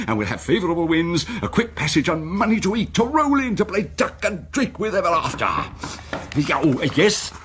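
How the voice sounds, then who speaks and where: An actor playing a pompous, eager English squire with a stammering bluster in a period radio drama. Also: male voice, a little high, a little hiss or hum, natural voice.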